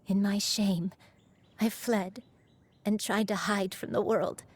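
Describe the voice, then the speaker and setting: An actress voicing a gentle elderly grandmother who is secretly a crane spirit, speaking in a soft, often half-whispered, remorseful way. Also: mid-range voice, steady tone. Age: older. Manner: measured, remorseful storytelling. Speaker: game character. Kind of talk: video game cutscene voice acting.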